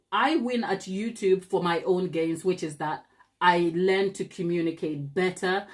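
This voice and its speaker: Ghanaian woman, channel host on a live stream, direct and emphatic, fond of rhetorical questions, mid-pitched voice. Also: a little mellow. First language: not English. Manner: emphatic, talks straight to viewers, rhetorical questions. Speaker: cake maker and channel host. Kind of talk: live stream chat to viewers.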